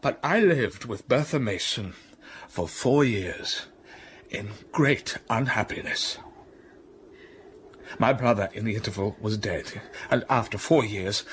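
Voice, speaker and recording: A brooding gentleman in a radio drama, slow, measured, passionate bursts, mid-pitched voice. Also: a little hiss or hum. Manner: slow, brooding storytelling with sudden rises of passion.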